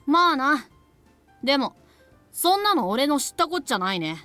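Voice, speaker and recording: Cocky young boy, sharp bright voice, rapid-fire, brusque, rude, visual novel acting, music behind. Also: high voice, very animated, no echo, exaggerated voice. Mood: dismissive. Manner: brusque, arrogant, rough boyish speech. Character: cocky, rude, short schoolboy, bento shop owner's son. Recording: game audio with music behind.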